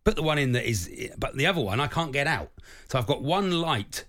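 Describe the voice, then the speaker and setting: A man co-hosting a radio show in casual, joking banter, with a loud voice and frequent laughs. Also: mid-range voice, expressive, brisk. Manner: chatty, joking, laughs often.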